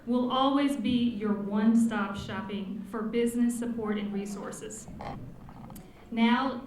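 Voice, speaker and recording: A woman delivers a formal, scripted address, her voice sitting a bit high. The sound rings through a large hall with strong echo. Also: steady tone, slow, darkish tone, a little hiss or hum. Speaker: city commissioner introducing speaker. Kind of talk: prepared speech. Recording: echoey hall.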